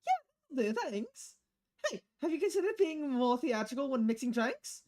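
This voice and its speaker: A woman's voice: high voice, very animated, normal speed, noisy background. A woman voicing a bubbly, eager young female idol singer from a visual novel game, chirpy and theatrical. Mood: perky.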